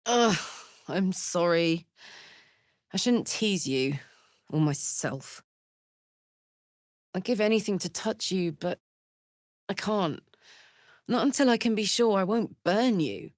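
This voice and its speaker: A woman's voice: mid-range voice, animated, takes it slowly, pauses often, no room sound, studio quality. A woman voicing a brash, big-hearted, battle-hardened warrior woman in a video game, blunt and slangy. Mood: tender. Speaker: video game character voice actor. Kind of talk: video game character voice lines.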